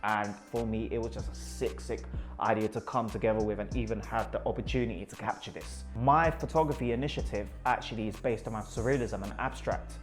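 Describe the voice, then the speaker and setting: A man's voice: on the low side, a little fast, soft background music. A man from Iraq with a London accent, a photographer talking enthusiastically about his pictures and often repeating words for emphasis.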